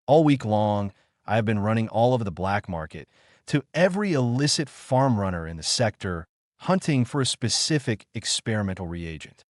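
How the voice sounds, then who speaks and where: A man's voice: fairly low pitch, no echo, clean studio sound, lightly acted. A man voicing an anxious android butler in an audiobook, formal, deferential and urgent.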